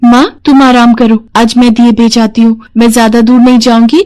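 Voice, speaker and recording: An innocent little girl from a storybook, acted by a woman. The voice is high and childlike, with an earnest, pleading way of talking. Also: flat delivery, normal speed, a little mellow, natural voice. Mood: concerned.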